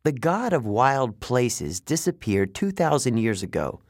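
A man voicing an earnest, idealistic young male satyr who sounds wistful and quick to take offense. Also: medium pitch, very animated, dry recording, studio quality. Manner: wistful, indignant about pollution.

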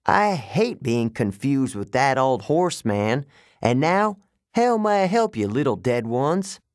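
A smooth and mocking ferryman of the dead, acted by a man reading a story, speaking with a British accent. He drawls slowly and condescendingly, his pitch rising and dipping with cold, sardonic relish. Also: very animated, no room sound, clean studio sound. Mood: impatient, cold.

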